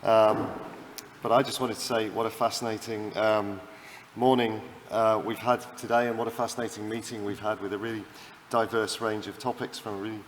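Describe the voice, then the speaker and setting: A man closes a conference with unhurried thanks. His voice sits low and carries a long hall reverb with faint noise behind it. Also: level tone. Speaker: conference organizer giving closing remarks. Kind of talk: closing remarks. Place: lecture hall.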